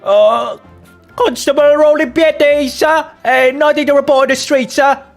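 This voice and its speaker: A man's voice: very high voice, steady tone, measured pace, creaky, soft background music, voice pushed up, exaggerated voice. A man voicing a young, dutiful Victorian police constable in a video game, flustered and deferential.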